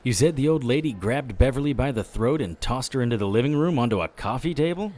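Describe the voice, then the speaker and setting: An actor playing a tough, wry private eye in a noir audio drama, with a deadpan delivery. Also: male voice, medium pitch, fast, close to an everyday voice. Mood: businesslike.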